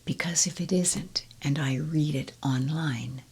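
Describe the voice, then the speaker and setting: A woman reading children's poems aloud in a soft, gentle whisper, close to the mic. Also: deep voice, a little slow, husky. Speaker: storybook reader. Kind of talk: whispered ASMR poetry reading.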